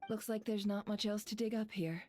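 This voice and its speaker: A woman voicing a composed, analytical female investigator in a video game, speaking in a measured, deliberate, formal way. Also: medium pitch, fairly even intonation, dark tone, slight background noise, natural voice. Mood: pensive.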